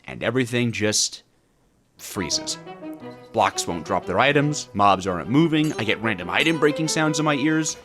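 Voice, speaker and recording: Guy narrating a gaming video, really animated and dramatic, comic exasperation, faint music under him. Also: mid-range voice, moderate pace. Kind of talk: scripted gameplay story narration.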